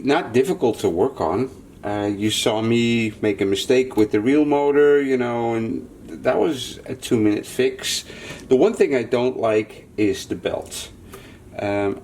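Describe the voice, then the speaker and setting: Unhurried man thinking aloud at work. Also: medium pitch, darkish tone, slight background noise. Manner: muttering to himself while working, thinking aloud. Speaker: repair technician and channel host. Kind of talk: unscripted hands-on repair demonstration, casual commentary.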